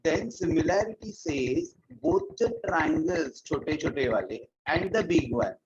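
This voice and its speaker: An Indian English math teacher gives an online class through an earphone mic. His voice is husky and gravelly. The sound is muffled and lo-fi, and he repeats each point and slips into Hindi now and then. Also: a little high, big pitch swings, unhurried. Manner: repeats key points, mixes in Hindi phrases. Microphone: earphones mic on a video call.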